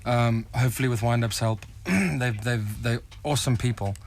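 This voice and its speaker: A man's voice: mid-range voice, normal speed, a little hiss or hum. A young South African man in a rock band, talking earnestly in a radio interview and stumbling over restarts.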